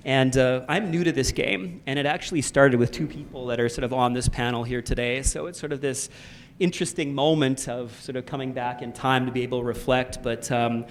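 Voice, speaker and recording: A man's voice: mid-range voice, fairly even intonation, brisk, a little hiss or hum. A man with a Canadian accent, introducing a festival panel as its moderator in a loose, filler-heavy way. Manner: conversational, many fillers and "sort of".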